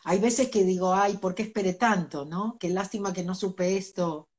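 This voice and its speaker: Middle-aged woman guest, earnest, mid-pitched talk with easy laughter. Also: fairly even intonation, normal speed, a little mellow. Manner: earnest, laughs between points. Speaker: interview guest, spiritual teacher. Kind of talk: reflective conversational interview.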